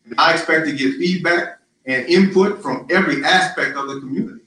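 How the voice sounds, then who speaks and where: A man running for city council, answering interview questions in a loud, emphatic, rallying way with lots of repetition. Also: on the high side, fairly quick. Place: live-streamed social media forum. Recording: very echoey, distant mic.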